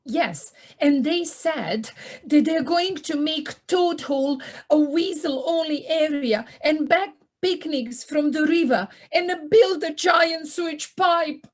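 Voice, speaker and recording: A woman voicing a timid, homely little mole in an audio play, speaking in a gentle, plaintive way. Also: sits high, slow. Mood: worried. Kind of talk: community audio play acting. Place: living room, recorded over video call.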